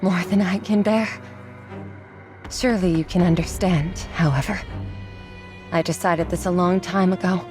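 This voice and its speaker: An actress playing a resolute, remorseful swordswoman in a dramatic video game, speaking in a measured, theatrical way. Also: lowish voice, fairly even intonation, darkish tone, close to an everyday voice. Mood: grave resolve. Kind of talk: dramatic anime game voice acting. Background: music behind the voice.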